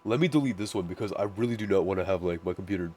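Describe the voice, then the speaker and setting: A man with a naturally deep voice, casually walking through his music production setup, rambling with lots of "like" and restarts. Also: rapid-fire, a little mellow. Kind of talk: casual screen-share tutorial.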